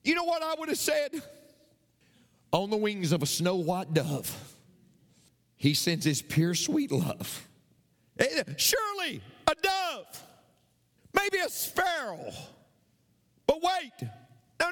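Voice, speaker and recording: Male pastor, lively high voice, long hall reverb, storytelling preacher. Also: slow, pauses often. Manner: animated, builds to shouted preaching. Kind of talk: sermon storytelling.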